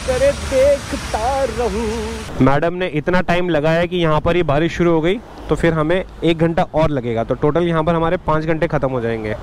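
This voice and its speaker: A man's voice: a little high, normal speed. A young man with a Mumbai Hindi accent, chatting playfully while riding a motorcycle in the rain. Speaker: motovlogger host. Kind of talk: casual vlog chat while riding. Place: outdoors on a motorcycle in rainy city traffic. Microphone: helmet or bike-mounted action camera. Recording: loud road and wind noise outdoors.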